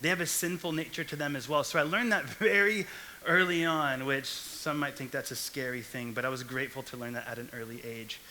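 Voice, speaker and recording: A man's voice: medium pitch, brisk, sharp tone, slight background noise, poor audio. A young man from Texas giving a casual, joking sermon to a young adult church group. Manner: chatty, jokey asides. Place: church hall stage.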